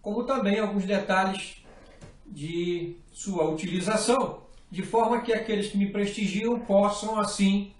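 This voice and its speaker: Man, middle-aged, methodical tutorial delivery, higher voice, roomy small workshop. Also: fairly even intonation, a little slow, far from the mic. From Brazil. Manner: patient, methodical, often ends points with "tá?". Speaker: fly rod building instructor. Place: small indoor workshop room. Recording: echoey room, voice off-mic.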